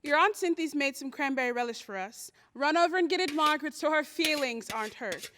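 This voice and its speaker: A woman playing a busy, brisk and dismissive American mother in an old-time radio drama. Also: high voice, expressive, background noise. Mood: hurried. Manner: brisk, fussing.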